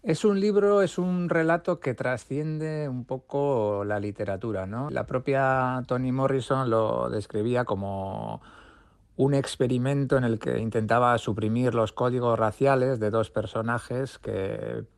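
A man with a medium-pitched voice gives book commentary as a guest. His delivery is conversational, and the sound is very dry. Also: measured pace, vocal fry, dry recording.

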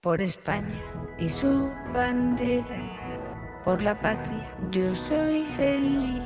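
A man's voice: very high-pitched, lively intonation, very slow, exaggerated voice. A man singing as Concordia 78, an algorithm in zarzuela. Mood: proud. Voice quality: sung, sustained melodic lines. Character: singing algorithm personifying a constitution. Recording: loud music accompaniment.

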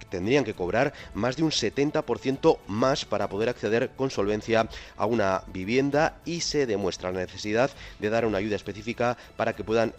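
A man giving a news report in an even, informative way. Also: lowish voice.

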